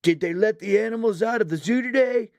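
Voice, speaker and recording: Storybook bully: very high-pitched, mocking, loud sing-song taunts with a dry sound. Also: male voice, brisk, vocal fry, dry recording. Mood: jeering. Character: mocking schoolyard bully chanting rap insults.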